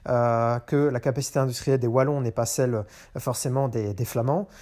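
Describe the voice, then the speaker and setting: A man's voice: medium pitch, fairly even intonation, brisk, darkish tone. A man hosting a show, talking unscripted and hesitant, with many fillers and restarts. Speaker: podcast host. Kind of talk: unscripted monologue on economics. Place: in a car.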